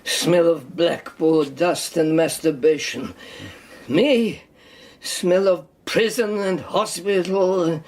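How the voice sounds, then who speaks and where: An actor in a radio drama playing a sardonic, battle-worn European refugee with a lame leg, speaking haltingly with mocking formality. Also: male voice, sits high. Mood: bitter, feverish.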